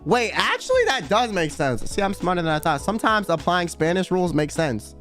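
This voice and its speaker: A young man chatting casually on a late-night stream, full of slang and loose tangents. His voice sits a little high for a man and swings widely in pitch. Faint background music plays under him. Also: big pitch swings, fairly quick, a bit bright. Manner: slangy, rambling, reads chat aloud.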